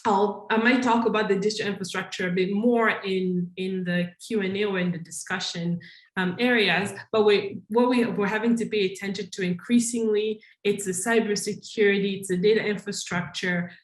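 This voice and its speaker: A woman's voice: medium pitch, fairly even intonation, normal speed, roomy sound, low-quality recording. A woman from Congo giving a conference talk, thoughtful and earnest, often restarting her sentences with fillers. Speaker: conference panelist.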